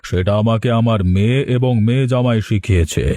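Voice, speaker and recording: A man's voice: medium pitch, a little fast, mellow, no room sound, natural voice. A man voicing an aging, weary, wise landlord father in a moral cartoon, speaking solemnly. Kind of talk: Bengali moral cartoon voice acting.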